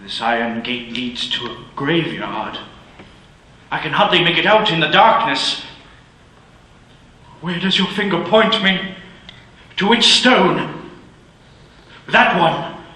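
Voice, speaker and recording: A man's voice: on the high side, lively intonation, takes it slowly, long pauses, sharp tone, full character voice. An actor playing an older male miser who is frightened and pleading, in a radio drama. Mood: urgent. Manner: anguished, rising to desperate cries. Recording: very roomy reverb, faint music.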